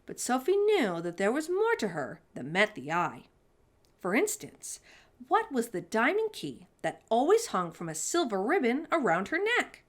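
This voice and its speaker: A woman's voice: fairly high pitch, wildly swooping pitch, measured pace. A woman hosting a children's story time, reading a storybook and addressing young listeners directly with exclamations.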